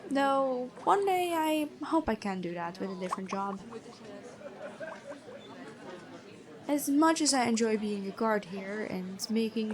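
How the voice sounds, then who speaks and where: A woman playing a gentle but stern, bookish female prison guard in a fantasy ASMR roleplay, chatty and inquisitive. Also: on the high side. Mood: chatty, wistful. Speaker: ASMR voice actor.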